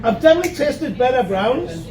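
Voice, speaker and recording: A man's voice: extremely high pitch, lively intonation, brisk. A man, from Newcastle, hosting a casual beer tasting, chatty and jokey with lots of fillers. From Newcastle, England. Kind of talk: casual chat. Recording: echoey room, distant mic, crowd chatter.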